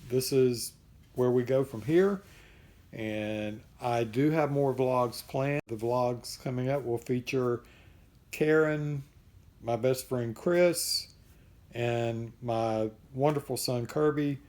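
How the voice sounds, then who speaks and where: Man, vlogger, dark mellow voice, relaxed rambling talk, slightly hoarse. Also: mid-range voice, slow pace. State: losing his voice. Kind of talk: casual vlog update.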